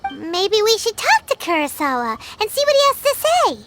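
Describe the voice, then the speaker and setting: Tiny game fairy, childlike, very high squeaky pitch, bouncy intonation, curious and chatty. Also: female voice, wildly swooping pitch, moderate pace, very noisy, exaggerated voice. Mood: puzzled. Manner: refers to self by name, inquisitive. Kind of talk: video game cutscene dialogue.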